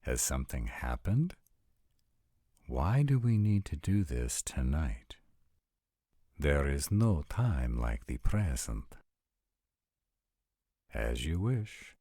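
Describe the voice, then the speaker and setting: A man playing a formal, commanding vampire nobleman in a roleplay audio drama, with a gravelly, husky voice and deliberate phrasing. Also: a bass voice, very animated, warm tone, dry recording, clean studio sound, full character voice. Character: commanding, arrogant male vampire nobles in tense parley.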